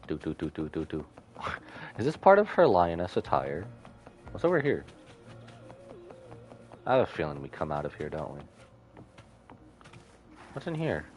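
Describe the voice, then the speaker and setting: A man's voice: deep voice, darkish tone. A man streaming a video game, giving casual live commentary and thinking aloud to himself.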